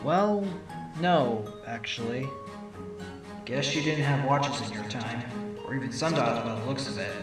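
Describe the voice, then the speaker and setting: A man's voice: medium pitch, unhurried, close to an everyday voice. Echoey, wry fox lawyer played by a man. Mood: questioning, wry. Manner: wry, musing. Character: wry, sardonic male fox defense attorney. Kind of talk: audio drama acting with inner monologue. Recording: very echoey, distant voice with music.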